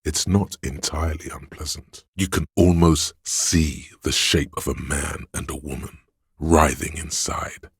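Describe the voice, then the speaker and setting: A sleazy, thrill-hungry inner voice from a video game. It is bottomlessly deep, thick with gravel and very husky. The delivery is theatrical and insinuating, relishing each word like a hedonist craving the next indulgence. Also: male voice, dry recording, studio quality, full character voice. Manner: insinuating, theatrical, savoring words. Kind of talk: video game character voice lines.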